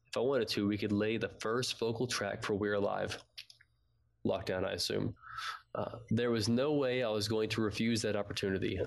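A man's voice: fairly low pitch, creaky. A man co-hosting a fan podcast, chatting casually and at times reading aloud. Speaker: podcast co-host.

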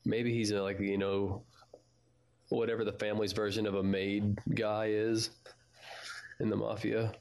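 A man's voice: fairly low pitch, level tone, measured pace, pauses often, a little mellow. A man from Texas chatting informally as co-host of a fan podcast about an audio drama. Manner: informal, conversational.

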